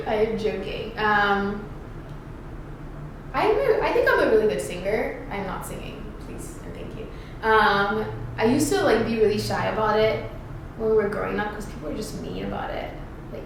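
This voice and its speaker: A young woman from Ghana chatting casually and playfully, rambling with lots of fillers as she answers questions. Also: medium pitch. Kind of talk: casual get-to-know-me chat. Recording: echoey room, distant mic, background noise.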